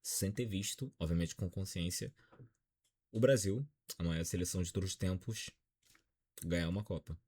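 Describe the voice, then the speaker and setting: A man's voice: low voice, moderate pace, mellow, husky. A Brazilian man in his twenties with a Brazilian accent, giving a dejected, frank football opinion vlog. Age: 20s. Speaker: football commentator.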